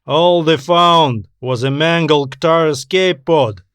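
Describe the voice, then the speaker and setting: A man's voice: a little high, slow, fairly bright tone, clear, resonant tone, no room sound. A man voicing a brash, irritable male space explorer with a dismissive, slangy, emphatic delivery in an audio story. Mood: dismissive, eager.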